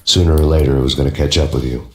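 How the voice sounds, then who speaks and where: An actor playing a hard-boiled, world-weary detective narrating his own story in deadpan noir style. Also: a bass voice, rapid-fire, roomy sound, soft background music. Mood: wry, deadpan.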